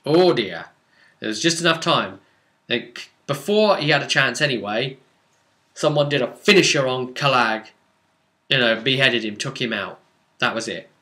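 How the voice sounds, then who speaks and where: A man's voice: medium pitch, animated, moderate pace, bright, forceful tone. A man casually recapping a tabletop role-playing session as game master, explaining conversationally with dramatic asides.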